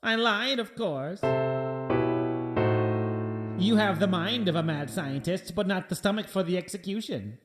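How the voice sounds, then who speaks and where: An actor playing a megalomaniac mad scientist villain in a radio play, theatrical, condescending and given to melodramatic rants. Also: male voice, high-pitched, a little slow, pauses often, over loud music. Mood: condescending, cold.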